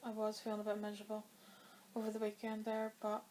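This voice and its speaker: Flat-voiced woman with a dry throat. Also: mid-range voice, fast, slight background noise. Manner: chatty and rambling, with many fillers. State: dry throat, coming down with a cold. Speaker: knitting vlogger. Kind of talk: casual chat to camera.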